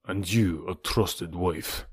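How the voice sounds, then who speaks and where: A scheming, sneering villain, as a narrator plays him, speaking slowly in a low, menacing voice. He mocks with cruel, drawn-out words. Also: breathy edge, no echo, studio quality, exaggerated voice.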